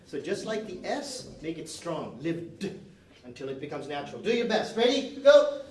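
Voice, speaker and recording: A man's voice: a little high, big pitch swings, normal speed, crowd noise. A man teaching an English class, giving emphatic, directive instructions and barking short commands as he leads drills. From moved to Canada at age nine. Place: indoor classroom. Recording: roomy classroom, distant mic, faint noise.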